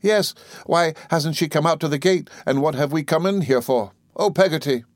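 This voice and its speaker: Man playing an anxious little boy, pitched up. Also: wildly swooping pitch, quick pace, no room sound, full character voice. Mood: frightened. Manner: plaintive, frightened, questioning. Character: anxious, tender-hearted young boy. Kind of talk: audiobook character dialogue.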